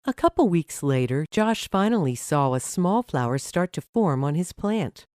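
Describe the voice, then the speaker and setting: A woman's voice: low voice, sing-song, normal speed, a little mellow, dry recording, studio quality. A woman narrating a scripted story for children.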